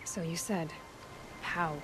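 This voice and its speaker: A woman's voice: fairly low pitch, fairly even intonation, takes it slowly, noisy background. A woman playing a headstrong young warrior scout in a video game, sarcastic, blunt and impatient, in a naturalistic delivery. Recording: loud music underneath. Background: loud game music.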